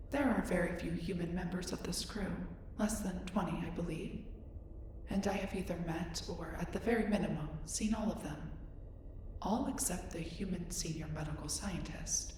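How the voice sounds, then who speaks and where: A woman's voice: lowish voice, lightly acted. Echoey, formal alien scientist played by a woman. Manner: formal, measured, precise wording. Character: curious, polite, emotionally reserved female alien scientist. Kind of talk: scripted roleplay audio drama. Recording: roomy echo, distant, clear background noise.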